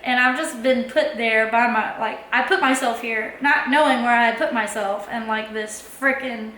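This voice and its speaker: A woman's voice: fairly high pitch, steady tone, moderate pace, sharp tone. A young woman, telling a personal story in a casual, chatty way and acting out her own past lines. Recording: echoey room with faint background noise.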